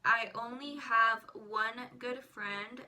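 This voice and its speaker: A woman's voice: a little high, fairly even intonation, takes it slowly, sharp tone, rough recording. An eighteen-year-old woman, a native Spanish speaker, chatting casually to viewers as a vlogger. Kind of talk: casual personal chat to viewers.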